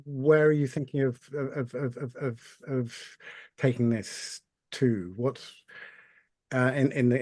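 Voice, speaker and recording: A man's voice: medium pitch, darkish tone, no echo. A man on a video call joining a panel discussion, thoughtful and hesitant, often repeating words. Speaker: panel participant.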